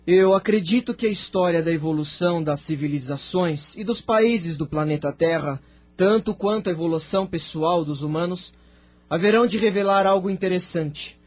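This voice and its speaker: A man with a Brazilian accent playing a venerable, thoughtful spirit sage in an audio drama, speaking in a measured, formal, declamatory way. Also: high-pitched. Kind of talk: scripted audio drama dialogue.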